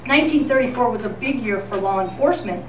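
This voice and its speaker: A woman's voice: fairly high pitch, lively intonation, fast. A woman co-hosting a live show, reading tongue-in-cheek scripted news in an old-time radio style. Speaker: co-host of a live radio-themed tribute show. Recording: distant echoey live event, noisy.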